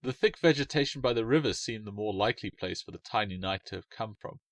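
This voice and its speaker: A man calmly reading his own fantasy short story aloud. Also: lowish voice, fast, dry recording. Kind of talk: storybook narration.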